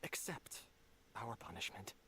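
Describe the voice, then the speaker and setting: An actor playing a remorseful, resigned young man in a video game, speaking haltingly as he accepts his fate. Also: lowish voice, big pitch swings, bright, forceful tone, a little hiss or hum, full character voice.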